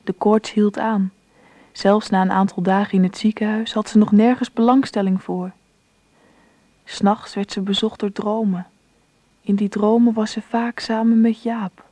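A woman narrates a radio play from a script. Her voice is mellow and dark, of medium pitch, recorded close and dry. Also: steady tone, normal speed, dry recording.

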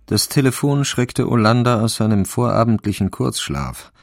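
A man reading scripted literary narration for a radio crime drama, with a slightly gravelly voice. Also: mid-range voice, animated, moderate pace, no room sound, studio quality, soft background music.

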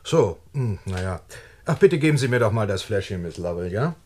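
A man acting a firm, sceptical doctor in a German radio play, with a measured, formal and pointed way of talking. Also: lowish voice, lively intonation, darkish tone. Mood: reserved. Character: firm, sceptical male doctor investigating a death.

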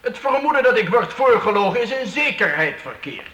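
An actor playing a jovial, commanding medieval count in a youth radio play, speaking in a stately, formal way. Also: male voice, high-pitched, very animated, a little fast, bright, forceful tone, muffled recording, full character voice. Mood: stern, commanding. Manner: stately, formal royal-we phrasing. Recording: roomy, echoing radio play recording.

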